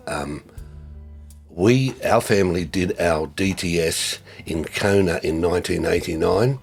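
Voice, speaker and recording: An older man, giving a reflective tribute in a halting way with many fillers and restarts. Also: low-pitched. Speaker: former ministry co-worker.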